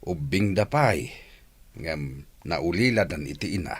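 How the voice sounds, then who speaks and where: An actor playing a calm middle-aged village husband and father in a radio drama. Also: deep voice, unhurried, husky, full character voice. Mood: sympathetic. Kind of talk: Ilocano radio drama acting.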